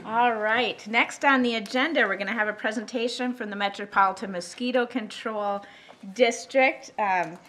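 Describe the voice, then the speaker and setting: A woman's voice: fairly high pitch, moderate pace, background noise. A woman chairing a county board meeting, welcoming new employees and moving through the agenda. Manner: welcoming, procedural.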